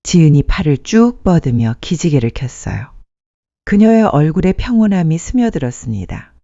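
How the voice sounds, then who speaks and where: A woman's voice: low-pitched, sing-song, moderate pace, creaky, mellow, no room sound, dull audio. A woman narrating a sentimental story from a script, as a storyteller addressing listeners.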